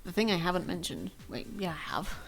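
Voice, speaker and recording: A woman's voice: on the low side, very animated, a little fast, gravelly, poor audio. A young woman streaming from home, chatting casually and rambling in a self-deprecating way. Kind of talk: casual chat to stream viewers. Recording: faint music behind.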